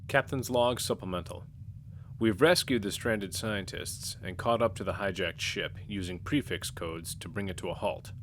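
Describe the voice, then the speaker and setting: A man speaking as a starship captain in a tabletop game, in a measured, commanding way. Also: deep voice, soft background music. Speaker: player voicing the ship's captain. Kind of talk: in-character play.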